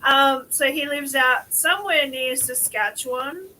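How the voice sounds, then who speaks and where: A woman's voice: high-pitched, a little hiss or hum, rough recording. A woman with a Cumbrian accent hosting a casual group stream, speaking with many ums and laughing often. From Cumbria, England. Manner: slow, bright, laughs often, lots of ums. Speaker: stream host.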